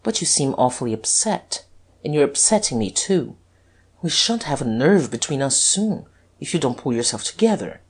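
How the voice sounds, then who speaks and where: A haughty duke from a storybook, as performed by a narrator. The intonation swoops freely, cool and mocking, with a slightly husky grain to the voice. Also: medium pitch, very animated, normal speed. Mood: gentler. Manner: haughty, icy, teasing.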